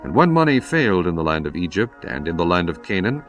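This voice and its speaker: A man narrating scripture in a solemn, expressive scripted reading. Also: sits low, quick pace. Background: faint music.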